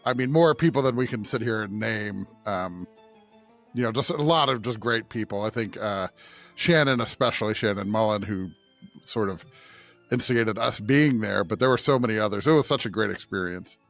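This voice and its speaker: A man's voice: on the low side, moderate pace, fairly bright tone, soft background music. A man co-hosting a church podcast in casual, conversational talk. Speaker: podcast co-host and pastor. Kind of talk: casual chat.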